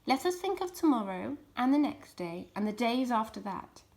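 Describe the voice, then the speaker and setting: A woman's voice: on the high side, very animated, moderate pace, a little mellow. A woman voicing a jovial, confident male fox leader, making rousing speeches in a children's storybook. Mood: serious, rousing. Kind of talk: children's storybook character reading.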